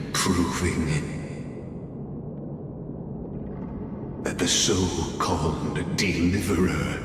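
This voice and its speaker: Very slow, deep, ominous narrator. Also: male voice. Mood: ominous, grave. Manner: formal, measured, grandiose with dramatic pauses. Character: grave, theatrical male narrator of fate, courtly and ominous. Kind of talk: dramatic scripted game narration. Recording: reverberant hall, loud music behind.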